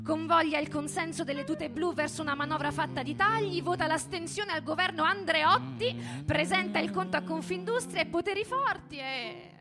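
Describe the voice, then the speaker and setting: High-pitched woman narrating over music. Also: moderate pace, hardly any pauses. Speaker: narrator and reader on a radio reading. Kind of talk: theatrical scripted narration with music. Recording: music under the voice.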